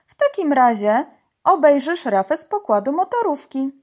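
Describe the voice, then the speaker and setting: A woman's voice: high voice, big pitch swings, normal speed, voice pushed up. A woman voicing a protective, commanding uncle who leads a young crew in a children's story. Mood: reassuring. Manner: commanding, emphatic.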